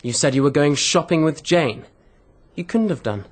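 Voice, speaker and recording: An actor playing a brusque, suspicious husband with a British accent in a radio mystery drama. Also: male voice, a little high, lively intonation, normal speed. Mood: defensive, accusing.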